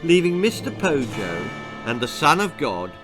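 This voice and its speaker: A man narrates a darkly comic children's tale with theatrical relish. His delivery is slow and deliberate, with widely swooping intonation, over background music. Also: a little high, sing-song. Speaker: author reading own stories aloud. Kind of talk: dramatic children's horror-comedy narration.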